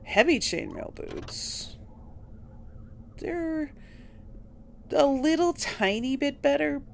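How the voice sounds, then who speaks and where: A woman's voice: high voice, animated, takes it slowly, creaky, slight background noise. A woman hosting a video game playthrough in casual commentary, thinking aloud as she plays. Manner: thinking aloud, musing to herself. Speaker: host of a game playthrough series.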